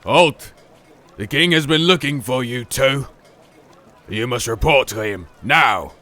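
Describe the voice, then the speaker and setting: A man's voice: mid-range voice, slow, bright, forceful tone. An actor, a man, playing an officious royal guard in a fantasy audio drama, giving clipped orders. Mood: stern. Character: officious male royal guard, stern but grudging.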